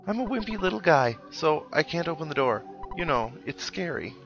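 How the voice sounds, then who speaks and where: A man's voice: fairly high pitch, big pitch swings, normal speed, a bit bright, voice pushed up. A man voicing a meek, nervous, wimpy little video game character who is scared of everything. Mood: timid. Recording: dull lo-fi mic, game music underneath.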